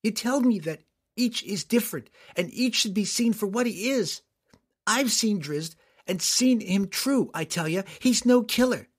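A man voicing a young dwarf girl, earnest and defiant, speaking in a high, pleading voice. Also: animated, unhurried, breathy edge, full character voice. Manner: high-pitched, pleading and indignant.

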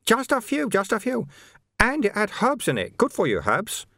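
A man voicing a calm, chatty monk in an audiobook, soothing and lightly teasing as he explains things. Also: high-pitched, moderate pace, dry recording, studio quality, pitched above the performer's own voice, exaggerated voice. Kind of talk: audiobook character dialogue.

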